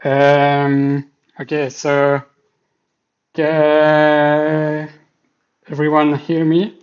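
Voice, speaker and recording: A man's voice: fairly high pitch, completely flat delivery, extremely slow. A Swiss man whose first language is French, speaking English with a French accent, hesitantly thinking aloud with many fillers while he sets up his live development stream. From Switzerland. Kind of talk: casual live dev stream. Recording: slightly dull lo-fi stream mic.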